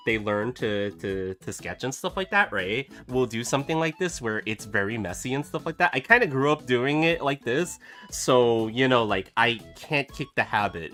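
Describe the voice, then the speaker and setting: A man chatting casually while he draws, rambling with many fillers and restarts, still getting over a cough. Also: mid-range voice. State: recovering from a cough. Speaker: artist streaming a drawing session. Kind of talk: casual chat while drawing. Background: soft background music.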